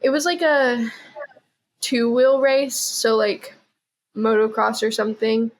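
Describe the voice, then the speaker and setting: Rambling woman guest, slightly high voice. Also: fairly even intonation, slow, low-quality recording. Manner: rambling, heavy use of "like" and "um". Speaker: podcast guest. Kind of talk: casual chat.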